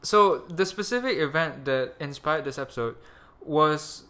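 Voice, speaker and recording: Young man, Malaysian accent, podcast host, bright tense tone, rambling, crude, self-mocking. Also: on the high side, expressive, a little slow, a little hiss or hum. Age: young adult. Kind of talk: casual chat.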